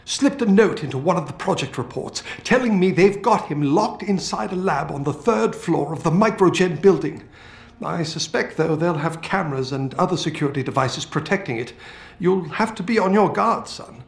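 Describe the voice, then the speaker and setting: An actor playing an older British scientist in a video game, feisty and fatherly, with colourful, expressive delivery. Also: a little high, moderate pace, gravelly. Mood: wry, weary. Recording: roomy game audio with music.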